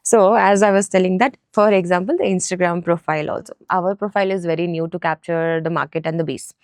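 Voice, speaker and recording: A woman's voice: lowish voice, fairly even intonation, fast, no room sound, clean studio sound. A woman from Nepal talking casually and explaining things as a business founder in a podcast interview. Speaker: food truck business co-founder, podcast guest.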